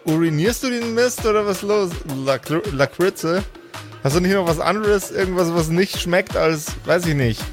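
A man's voice: sits high, normal speed, music in the background, natural voice. A man voicing a grumpy, boozed-up woman warrior in an improvised role-play, whining and slurring. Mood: grumbling drunk.

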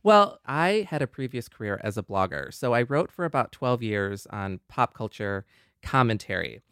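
A man's voice: medium pitch, big pitch swings, normal speed, fairly bright tone, smooth, no room sound, clean studio sound. A man co-hosting a podcast in an earnest, wordy, conversational way. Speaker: podcast co-host, former blogger.

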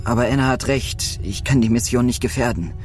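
An actor playing a miserable but dutiful astronaut in an audio drama, speaking in a downcast manner. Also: male voice, medium pitch, fairly quick, darkish tone, soft background music, natural voice. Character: miserable, dutiful male astronaut trying to interrupt a quarrel.

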